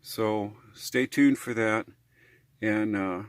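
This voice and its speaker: A man's voice: fairly low pitch, slow pace, clear, resonant tone, dry recording. An American man talking casually in a how-to vlog, in a rambling, hesitant, folksy way. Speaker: RV living vlogger.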